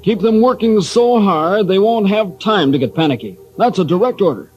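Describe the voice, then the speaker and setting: Commanding sub skipper, high husky voice, barked orders, animated delivery, old radio serial. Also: male voice, normal speed, faint music behind. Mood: firm, authoritative. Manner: barking, authoritative orders. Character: stern, commanding veteran submarine captain. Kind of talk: old-time radio drama acting. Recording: dull lo-fi old radio recording.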